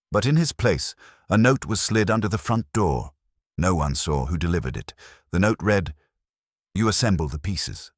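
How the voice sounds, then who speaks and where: A man reading a first-person detective story as a scripted narrator, in a measured, dramatic way. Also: very deep voice, breathy edge, no echo, clean studio sound. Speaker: audiobook narrator telling the story as a detective's assistant.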